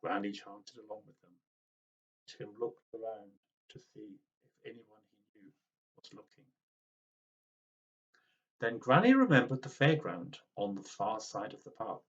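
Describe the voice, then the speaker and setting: A man reading a children's storybook aloud in an expressive, dramatic way. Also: fairly low pitch, a little slow, long pauses, muffled recording. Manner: animated, dramatic.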